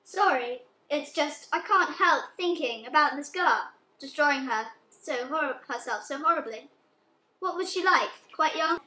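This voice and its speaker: A woman playing an earnest, guilt-stricken young well-off daughter in an amateur radio play, speaking a little haltingly. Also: sits high, expressive, sharp tone, full character voice. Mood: troubled. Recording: echoey room, amateur recording.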